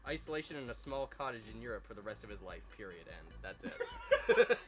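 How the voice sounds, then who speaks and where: A man's voice: lowish voice, big pitch swings, fairly quick, noisy background. A man reading a story aloud with joking asides, stumbling over words as he goes. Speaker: host reading fan fiction aloud. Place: outdoors by a fireside at night. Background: music.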